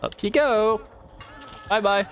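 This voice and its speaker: A man's voice: high voice, takes it slowly, clean tone, faint music behind. A man hosting a gaming video, giving chatty, informal commentary on his play and addressing his viewers directly. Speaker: gaming video host and player. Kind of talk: casual gameplay commentary.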